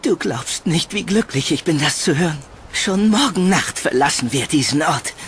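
A man's voice: fairly high pitch, lively intonation, normal speed, fairly bright tone, slight background noise. A man playing a haunted, shy yet charming young man in a horror audio drama, earnest and pleading. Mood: hopeful, reassuring.

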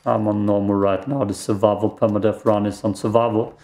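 A man's voice: fairly low pitch, level tone, brisk, dark tone. German-native man rambling over gameplay. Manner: rambling, self-deprecating, reacts aloud to the game. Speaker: let's play gamer. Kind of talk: casual gameplay commentary. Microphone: desk microphone.

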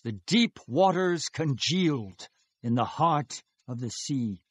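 A man's voice: a little high, expressive, slow pace, slightly rough, dry recording, exaggerated voice. A man acting a devout, fervent Israelite in a dramatized scripture reading, speaking in a solemn, declamatory manner. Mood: solemn praise.

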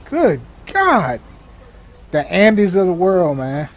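A man's voice: high-pitched, wildly swooping pitch, takes it slowly. A man doing improvised police radio call-outs in a game, mixing clipped procedural jargon with casual muttered asides. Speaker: gamer playing a sheriff's deputy in a police patrol role-play. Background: game vehicle and ambient sounds.

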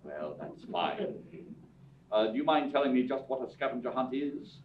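A man plays a sardonic homeless gentleman in an old-style radio drama, articulate and dry. His delivery moves freely up and down. The recording is distant and dull, made in an echoing theatre. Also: mid-range voice, moderate pace, lightly acted. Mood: dry, curious. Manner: deadpan, formal, articulate. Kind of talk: staged 1930s-style radio play reading. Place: theatre stage with audience, far camera. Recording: roomy dull stage recording from afar, noisy.

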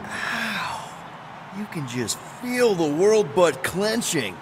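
Cocky jokey space crew leader for a game, voice goes up and down a lot. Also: male voice, sits high, sing-song, drawn-out words, very noisy, full character voice. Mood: wry. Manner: jokey, self-congratulatory. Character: cocky, easygoing space adventurer leading a ragtag crew. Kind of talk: video game character acting.

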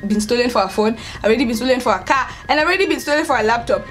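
A young adult woman with a Kenyan accent, telling a story in casual vlog style, expressive and emphatic, repeating words for stress. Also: fairly high pitch, very fast, music in the background. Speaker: vlogger, storyteller. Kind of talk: casual storytime chat to camera.

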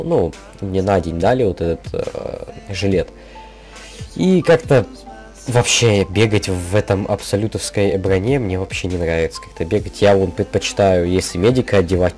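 A young man with a slightly sick voice giving casual, rambling game commentary in halting gamer slang over background music. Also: low-pitched, warm tone. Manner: informal gamer slang, halting, thinking aloud. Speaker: gaming video creator. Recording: music behind the voice.

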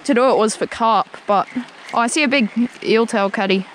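A young man hosting a fishing vlog, speaking in brief, relaxed remarks with quiet enthusiasm, recorded outdoors on an action camera. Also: high voice, a little fast, slight background noise, rough recording. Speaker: fishing channel host. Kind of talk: casual fishing vlog chat. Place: outdoors on a creek bank. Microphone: wearable action camera.

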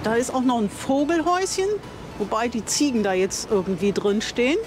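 A chatty older woman with a fairly high voice walks a campsite and talks to the camera. She is enthusiastic and often corrects herself mid-sentence. Music plays clearly behind her outdoors. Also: sing-song, a little fast. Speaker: vlogger touring a campsite. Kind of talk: casual on-camera tour commentary.

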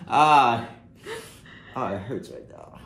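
A man's voice: mid-range voice, animated, takes it slowly, fairly bright tone. A young man, a patient being treated lying down, chatting casually in brief, reactive answers. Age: young adult. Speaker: chiropractic patient. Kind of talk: casual chat. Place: small indoor treatment room. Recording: roomy, distant mic with faint noise.

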